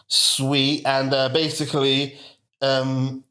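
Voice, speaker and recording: A man's voice: medium pitch, level tone, slow, sharp tone. A young man hosting a casual interview, laid-back and joking with lots of fillers. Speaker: podcast host. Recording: echoey room.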